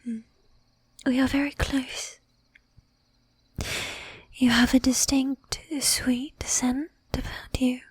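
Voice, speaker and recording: Woman playing a breathy, whispering, courtly female vampire. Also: high-pitched, level tone, dry recording, exaggerated voice. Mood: courtly, coy. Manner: formal, archaic diction, slow and deliberate. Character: flirtatious, bossy, possessive female vampire, courtly and formal. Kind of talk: ASMR roleplay. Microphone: close microphone, dry.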